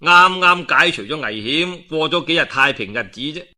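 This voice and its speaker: A man with a Cantonese accent telling a historical tale in a dramatic, colloquial and expressive oral storytelling style. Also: a little high, normal speed. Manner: colloquial and expressive, with rhetorical asides.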